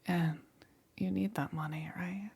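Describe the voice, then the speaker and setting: A woman's voice: lowish voice, fairly even intonation, heavily acted. Woman acting a whispering, possessive demon queen. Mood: probing, amused. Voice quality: breathy, whispery, husky. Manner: slow, deliberate, softly condescending. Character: seductive, possessive, melancholy demon queen keeping a pet. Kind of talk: whispered ASMR roleplay.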